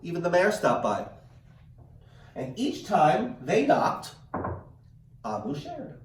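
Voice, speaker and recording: Male storyteller for children's read-aloud, animated and dramatic, heavily reverberant room sound. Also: fairly high pitch, slight background noise. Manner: dramatic, drawn-out word emphasis. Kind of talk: expressive children's picture-book read-aloud with asides to parents and kids. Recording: echoey room, distant microphone.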